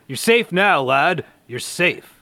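A man's voice: fairly high pitch, sing-song, slow, gravelly, bright, forceful tone, no echo, studio quality, heavily acted. A man playing a commanding, earnest scientist who leads a superhero team, speaking in melodramatic declarations in a radio play. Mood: reassuring. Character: commanding, earnest heroic scientist leader of comic-book team.

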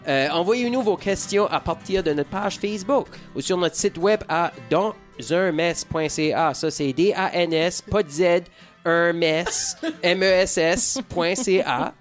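A man's voice: high-pitched, unhurried, soft background music. A man with an Acadian French accent from rural New Brunswick hosts a casual podcast, joking and rambling, mixing English words into his French. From rural Acadian New Brunswick, Canada. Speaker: podcast host.

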